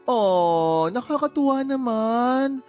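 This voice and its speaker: An actress playing a bubbly, flamboyant best friend, sassy and gushing, in comic radio drama acting. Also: female voice, on the high side, animated, takes it slowly, darkish tone, soft background music. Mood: playful, gushing. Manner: sassy, gushing, mixes in English slang.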